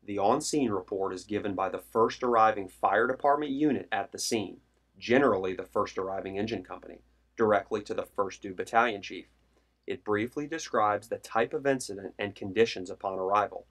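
Low-voiced male training narrator. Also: a bit bright. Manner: formal, measured delivery. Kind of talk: scripted instructional narration.

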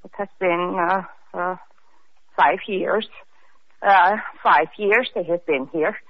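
A woman playing a nervous foreign-born housemaid who speaks halting, broken English in an old radio drama. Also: lowish voice, no room sound. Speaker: maid. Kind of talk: 1940s radio acting. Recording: dull old radio recording.

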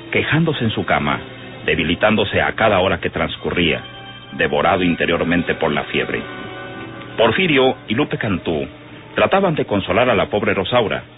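A man narrating an old radio drama from a script, in storytelling style. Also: low-pitched. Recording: tinny old radio recording with music.

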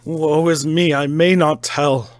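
A man reads as a desperate male asylum inmate, begging in a raised, pleading voice that climbs higher. The sound is dry and close, with no room around it. He entreats without pause, pressing every line. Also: steady tone, no echo. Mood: frantic pleading. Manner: pleading, desperate, rising to cries. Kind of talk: audiobook character reading.

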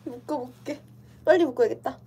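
A woman's voice: high voice, normal speed, mellow. A young woman casually chatting with viewers on a livestream from indoors, playful and giggly, replying to comments. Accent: Korean dialect. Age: young adult. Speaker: idol streamer. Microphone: computer livestream mic.

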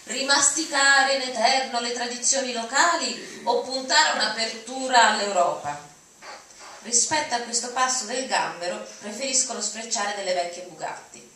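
A bright, forceful woman's voice carries across a very echoey hall, captured from out in the audience with faint noise. She is middle-aged and reads prose aloud dramatically. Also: a little high, normal speed, recorded from a distance. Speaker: dramatic reader. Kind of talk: dramatic reading of essays aloud. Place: auditorium at a lectern. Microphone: lectern mic, recorded from audience.

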